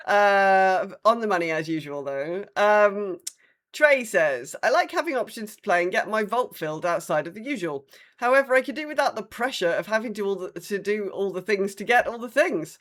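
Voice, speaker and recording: A woman's voice: medium pitch, lively intonation, moderate pace, a bit bright. Conversational woman podcaster with mid-range voice. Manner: informal, conversational. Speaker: podcast co-host. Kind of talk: casual gaming podcast chat and reading listener comments.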